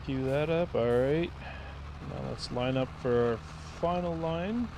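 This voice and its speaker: A man streaming a farming game, thinking aloud in a relaxed, rambling way as he plays. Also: mid-range voice, a little slow, gravelly, background noise. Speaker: gaming streamer. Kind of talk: casual gameplay commentary.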